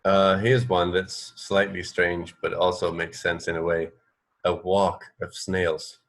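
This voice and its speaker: Low-voiced man in casual radio banter. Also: flat delivery, slow pace, vocal fry. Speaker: radio co-host.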